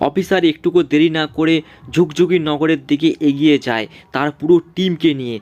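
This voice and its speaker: Fast-talking male story narrator. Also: fairly high pitch, darkish tone, no room sound, muffled recording.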